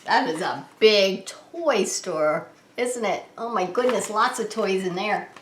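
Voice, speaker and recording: A woman's voice: medium pitch, slow pace, a little hiss or hum. A woman hosting story time as a preschool teacher, reading a picture book aloud and explaining it simply and expressively to young children. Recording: roomy, echoing indoor sound.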